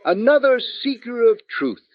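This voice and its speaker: Boozy philosopher, wildly swooping voice. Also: male voice, very high-pitched, big pitch swings, unhurried, no room sound, dull audio, heavily acted. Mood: grandiloquent, musing. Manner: florid, rambling, grandiloquent. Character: boozy, philosophizing male ex-doctor barfly, theatrical and wry. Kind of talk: old-time radio comic-relief acting.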